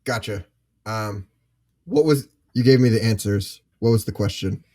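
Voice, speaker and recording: A man's voice: fairly low pitch, unhurried, darkish tone. A man in a casual panel discussion, candid and hesitant as he thinks aloud. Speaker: panel participant.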